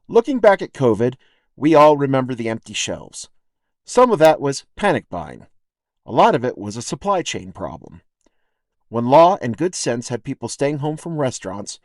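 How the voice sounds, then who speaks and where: A man reads a scripted audio essay in a wry, plain-spoken, colloquial way, setting off short phrases with deliberate pauses. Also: medium pitch, no echo, studio quality. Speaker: podcast writer and host.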